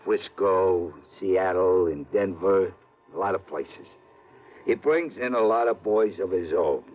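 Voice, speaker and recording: An actor in a 1950s radio crime drama playing a wary, street-tough former arsonist turned informant, with hesitant, ungrammatical slang. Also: male voice, deep voice, slow, faint music behind. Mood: matter-of-fact, knowing. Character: uneasy small-time male ex-arsonist informant, street-tough, wary of police. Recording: dull old radio broadcast recording.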